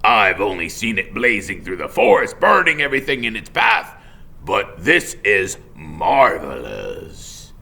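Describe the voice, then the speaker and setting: A man voicing an excitable, jovial beaver builder in a storybook, stammering and hesitant as he exclaims. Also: lowish voice, unhurried, creaky, exaggerated voice. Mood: amazed.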